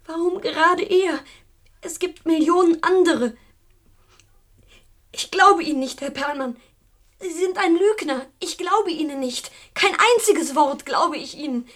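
An actress playing an anxious, fragile daughter in a radio drama, emotional and agitated in manner. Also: female voice, extremely high pitch, unhurried. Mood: distraught. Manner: agitated, emotional, repeats herself. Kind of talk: German radio drama acting.

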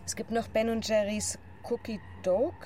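An actress playing a polite, obliging waitress in a naturalistic radio drama. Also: female voice, fairly high pitch, flat delivery, moderate pace, warm tone, close to an everyday voice. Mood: courteous. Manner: polite service manner. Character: polite, obliging waitress serving train passengers. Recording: clear ambient noise behind.

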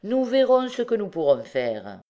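A woman voicing a callous male ex-convict, a rough street villain who talks in a scornful, slangy, offhand way. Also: mid-range voice, sing-song, measured pace, warm tone, pitched above the performer's own voice. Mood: coolly confident.

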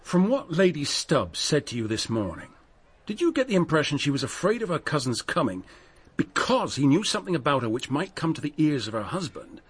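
An actor playing a polite, affable English police inspector with an English accent, courteous and probing in a radio drama. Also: male voice, a little high, sing-song, brisk, breathy edge. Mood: businesslike.

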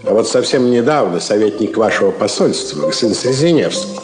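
An actor playing a haughty European businessman in a radio play, suave and measured, with sardonic irony. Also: fairly low pitch, animated, lightly acted. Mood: mocking. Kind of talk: Soviet radio play acting. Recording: roomy old radio drama, music behind.

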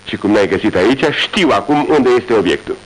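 Detective, low voice, lively intonation, measured, ironic, radio play. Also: male voice, poor audio. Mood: calm, assured. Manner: confident, measured, lightly ironic. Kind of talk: 1980s radio drama acting.

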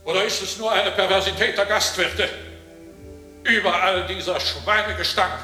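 An actor in a radio drama playing an embittered, pompous performer full of disgust, declaiming in a drawn-out theatrical way. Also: male voice, high-pitched, steady tone, bright, forceful tone, echoey room, soft background music. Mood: disgusted, scornful. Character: embittered pompous old-school performer disgusted by provincial life. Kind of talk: German radio drama acting.

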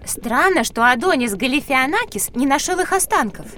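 A woman's voice: high voice, moderate pace, slight background noise. A woman voicing a sweet, inquisitive, naive young schoolgirl in a children's educational audio drama. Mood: surprised. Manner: expressive, naive.

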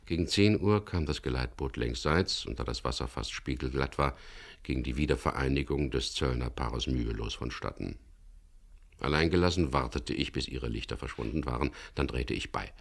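Very deep, gravelly skipper. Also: male voice, moderate pace, full character voice. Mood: calm, reflective. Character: wry, weary British boat skipper narrating his adventure. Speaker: first-person narrator and lead character. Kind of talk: 1970s German crime radio play, narration and dialogue.

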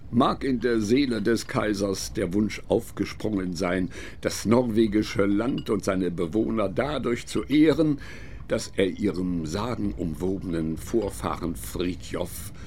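A man's voice: on the low side, slight background noise. A man narrating a scripted radio drama in a solemn, literary style. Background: music.

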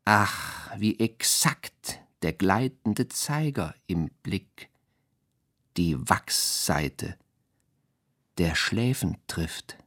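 A strange male voice of dreamlike radio poetry. He speaks extremely slowly and haltingly, swooping in pitch, with a husky, breathy tone. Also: expressive, fairly bright tone, full character voice. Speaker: radio drama performer. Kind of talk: literary radio play recitation, surreal poetic prose.

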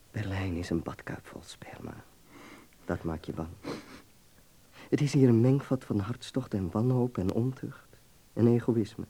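An actor playing a worldly, affectionate young medical student with a fervent, teasing, philosophizing manner in a Dutch radio play. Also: male voice, on the low side, mellow, husky, heavily acted. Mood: fervent, bitter. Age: young adult.

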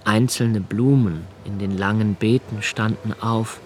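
An actor playing a solitary, brooding young poet of twenty-eight who narrates his own thoughts in a literary radio drama, in a slow, measured, contemplative way. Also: fairly low pitch, steady tone, darkish tone, slight background noise, close to an everyday voice. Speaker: first-person narrator of a radio play.

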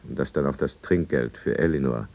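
An actor playing a remorseful drinker narrating his own downfall in a 1950s German radio drama, measured and brooding. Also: a bass voice, darkish tone. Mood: confessional, tense. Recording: dull, muffled 1950s radio recording.

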